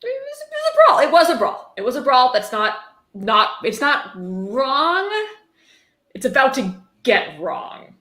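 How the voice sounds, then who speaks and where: Sardonic woman in an echoey room, bright voice. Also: sits high, wildly swooping pitch, measured pace. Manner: sarcastic, wry asides. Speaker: host reading a book aloud with commentary. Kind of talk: casual livestream dramatic reading with commentary. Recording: roomy, echoey sound.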